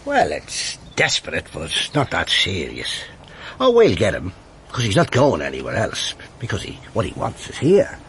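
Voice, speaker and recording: Wry, deep-voiced police inspector, very animated. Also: male voice, moderate pace, gravelly, a little hiss or hum, exaggerated voice. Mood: wry, confiding. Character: wry, blunt male police detective inspector. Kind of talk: radio drama acting.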